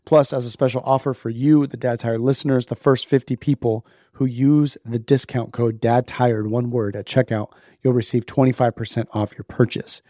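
A man with a hoarse, worn voice from being sick, casually opening a podcast and reading sponsor messages. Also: mid-range voice, fairly even intonation, moderate pace, hardly any pauses, warm tone, no echo, clean studio sound. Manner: upbeat, chatty, laughs at himself. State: hoarse, sick, losing his voice. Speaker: podcast host.

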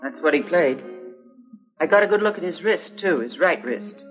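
An actor playing a feisty American bar owner giving a witness account, emphatic and plain-spoken, in a 1950s crime drama. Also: male voice, fairly high pitch, animated, moderate pace, music in the background, lightly acted, low-quality recording. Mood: insistent. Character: feisty bar-owning robbery victim, eager witness. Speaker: robbery victim, bar owner.

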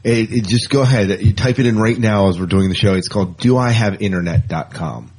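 Low-voiced man, husky, joking podcast host. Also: a little fast, a little mellow. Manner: jokey, rambling, repeats words for emphasis. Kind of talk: casual sci-fi fan podcast chat.

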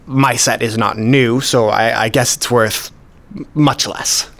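A man's voice: mid-range voice, steady tone, takes it slowly, vocal fry, a bit bright. An actor playing a modest, precise male hobbyist collector, explaining things methodically in a radio play. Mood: matter-of-fact, modest. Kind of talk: scripted dialogue, explaining.

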